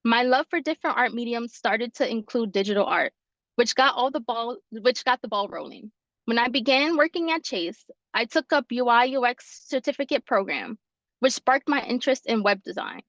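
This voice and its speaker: A woman's voice: on the high side, animated, normal speed, fairly bright tone, no room sound. Young woman reading her story, lo-fi call. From New York. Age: 20s. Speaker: fellow presenting her story. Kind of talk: reading a prepared personal story. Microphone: video call.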